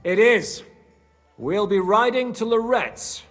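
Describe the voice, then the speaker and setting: An actor playing a practical, plain-spoken leader of a medieval band in a naturalistic game cutscene. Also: male voice, sits high, expressive, slow pace, fairly bright tone, faint music behind, full character voice. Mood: brisk, instructing. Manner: blunt, matter-of-fact.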